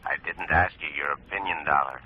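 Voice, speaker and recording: Deep-voiced, menacing small-town boss. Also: male voice, husky. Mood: veiled menace. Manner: slow, curt, veiled threats. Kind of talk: 1950s radio drama acting. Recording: muffled old radio recording, noisy.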